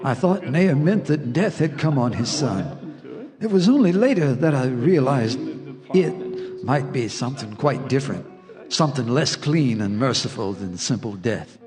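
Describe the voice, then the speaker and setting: A man's voice: mid-range voice, very animated, a little slow, over music. An actor playing a remorseful countryman who narrates a horror tale in a solemn, literary way for a radio drama. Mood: somber, haunted. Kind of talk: scripted first-person narration in radio drama.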